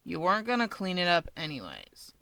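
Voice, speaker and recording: A woman acts out a sardonic young fire-wielder, a man who can't be bothered. The voice is lowered, flat and dryly drawling, made for a narrated story. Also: a little fast, vocal fry, dry recording, heavily acted, rough recording. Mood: annoyed, flat.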